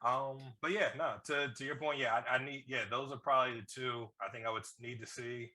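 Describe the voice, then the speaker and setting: A man with a bright, punchy voice at an ordinary male pitch co-hosts a movie discussion. He talks in an excitable, rambling way, doubling back on his phrases and tossing in slang and swearing. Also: brisk. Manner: excitable, rambling, repeats himself, swears freely. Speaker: podcast co-host reviewing a movie. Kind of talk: casual, slangy movie chat.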